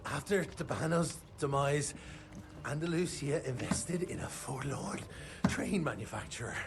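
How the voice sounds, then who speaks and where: An actor playing a sly, talkative male arms-dealer informant, gravelly and hesitant, in a game cutscene. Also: lively intonation, a little slow, very noisy. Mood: conspiratorial. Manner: chattering, hesitant, shrill when panicked. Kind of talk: video game cutscene acting.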